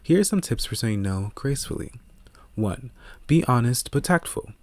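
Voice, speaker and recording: A man reading scripted audiobook narration in a measured, earnest way, close to the microphone. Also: mid-range voice, very animated, a little mellow, no echo.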